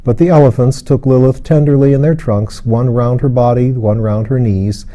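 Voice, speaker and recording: A male narrator reads literary fiction in a measured, careful way. His voice is very dark and soft-toned, with a medium pitch. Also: fairly even intonation. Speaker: audiobook narrator.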